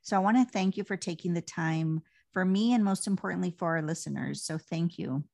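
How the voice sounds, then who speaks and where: A woman's voice: lowish voice, a little fast, vocal fry, a little mellow. A woman hosting an interview, friendly and affirming, with frequent short encouragements. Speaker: podcast host.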